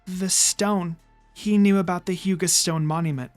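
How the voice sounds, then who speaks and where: A narrator voicing a timid, gentle, anxious teenage girl ninja who speaks hesitantly and stammers in a story reading. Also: medium pitch, fairly even intonation, normal speed, faint music behind, lightly acted.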